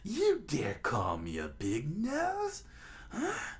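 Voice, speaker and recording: A man voicing a loud, gloating clown pirate captain in a storybook reading, taunting with drawn-out words. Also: mid-range voice, wildly swooping pitch, pitched above the performer's own voice, heavily acted. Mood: outraged.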